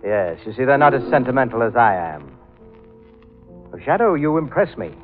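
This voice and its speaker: Tinny, narrow old radio sound with music behind it. A man plays a cultured, gloating murderer with a mid-pitched voice. He is affable and mannered, and his politeness mocks and threatens as in a classic radio thriller. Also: sing-song, moderate pace, lightly acted. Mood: mocking affability. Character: suave, sinister male killer, cultured and gloating. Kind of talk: old-time radio drama acting.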